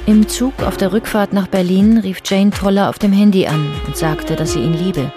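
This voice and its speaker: A woman's voice: medium pitch, steady tone, normal speed, lightly acted. A woman as the composed narrator of a radio crime drama, reading literary prose in a sober, restrained manner. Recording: music bed under the voice.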